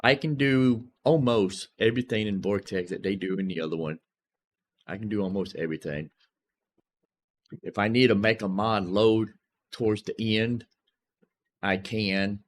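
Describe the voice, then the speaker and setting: A man's voice: on the low side, normal speed, long pauses. A man casually commentating as a gaming streamer, thinking aloud and informally talking through each step. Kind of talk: casual gameplay and modding commentary. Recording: clearly noisy background.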